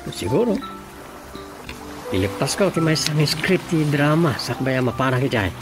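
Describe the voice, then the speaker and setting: An actress with an Ilocano Filipino accent playing a laconic village scriptwriter in a radio drama. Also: female voice, deep voice, sing-song, normal speed. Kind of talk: radio drama comedy acting. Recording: loud music and rain effects behind.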